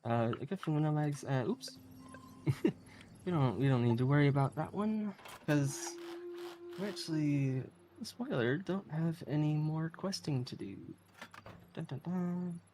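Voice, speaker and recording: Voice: mid-range voice, unhurried, dark tone. A speedrunner giving casual live commentary on a game, often giggling while talking. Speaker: speedrunner playing and explaining a game. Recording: clearly noisy live stream audio.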